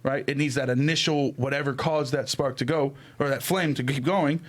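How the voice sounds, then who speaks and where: A man's voice: medium pitch, fairly even intonation, moderate pace. A young man chatting casually on a podcast mic, theorizing in an emphatic, slangy, profane way. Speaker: podcast co-host. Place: small indoor room. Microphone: podcast microphone on arm, headphones.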